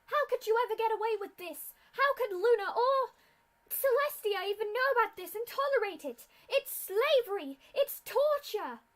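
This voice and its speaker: A woman voicing a brave pink pony foal who yells in outrage, in a storybook reading. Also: very high-pitched, animated, moderate pace, pitched above the performer's own voice. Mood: outraged. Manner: yelling accusations.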